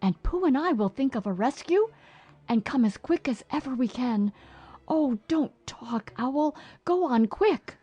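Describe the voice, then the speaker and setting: A man's voice: very high-pitched, expressive, measured pace, warm tone, slightly rough, muffled recording, voice pushed up, heavily acted. A man voicing an eager, affectionate young boy in a storybook reading, talking earnestly and a little bossily.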